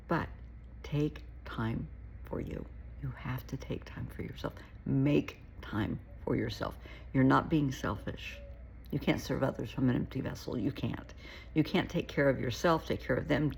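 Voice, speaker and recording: A woman therapist giving casual, earnest advice to camera, her voice gravelly and shaky from an oncoming cold, often pleading and repeating herself. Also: rumbling low, darkish tone. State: getting a cold.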